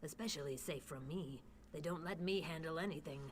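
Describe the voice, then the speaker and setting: An actress playing a wry, self-mocking shop worker in a fantasy game. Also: female voice, low-pitched, fairly quick, slight background noise, close to an everyday voice. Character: wry female merchant-guild worker.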